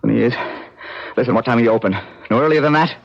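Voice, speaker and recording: Excitable haunted painter, American accent, husky voice, emotional radio drama delivery, dull vintage recording. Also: male voice, mid-range voice, very fast. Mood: agitated, insistent. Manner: emotional, theatrical.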